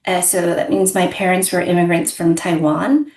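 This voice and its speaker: A middle-aged woman from Southern California, an ethics professor and book author, answering interview questions in an articulate, measured way. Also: fairly low pitch, fairly even intonation, a little mellow, roomy sound. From United States (Southern California). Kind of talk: interview conversation.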